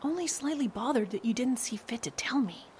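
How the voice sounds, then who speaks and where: A woman's voice: a little high, expressive, a little fast, a little mellow, a little hiss or hum, lightly acted. An actress playing a sardonic, teasing woman who is easily exasperated, with a dry, sarcastic way of talking in an audio drama. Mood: hushed, teasing.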